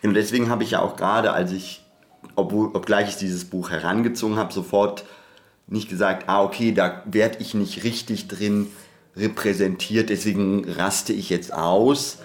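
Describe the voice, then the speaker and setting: Low-pitched, discursive podcast co-host. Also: steady tone, moderate pace. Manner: discursive, hesitant, self-interrupting academic talk. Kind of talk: reflective conversational podcast discussion.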